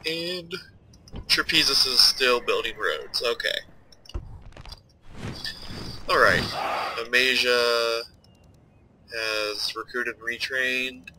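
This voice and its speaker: A man's voice: medium pitch, takes it slowly, background noise. A young man with a stuffed-up, nasal voice, casually commenting while he plays a strategy game and thinks aloud. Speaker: let's play host.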